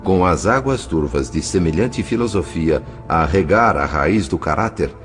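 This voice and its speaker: A man reading scripted literary narration as an audiobook narrator, in a measured, formal storytelling manner. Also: deep voice, expressive, faint music behind.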